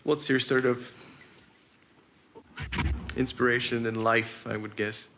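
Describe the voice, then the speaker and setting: A man's voice: medium pitch, level tone, takes it slowly. A man hosting a casual interview in an encouraging, affirming way. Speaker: interview host. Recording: noticeable background noise.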